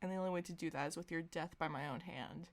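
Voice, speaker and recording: A woman's voice: low voice, fast, natural voice. A woman voicing a stony young male vampire in a fan fiction reading, cool, formal and grave. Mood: cold, grave. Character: cold, stony young male vampire protecting his family.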